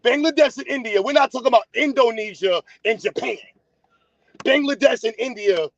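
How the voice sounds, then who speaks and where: A man's voice: extremely high pitch, normal speed, vocal fry. A man hosting a casual livestream, blunt and teasing as he talks straight to his viewers. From United States. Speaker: livestream host. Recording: dull, lo-fi sound.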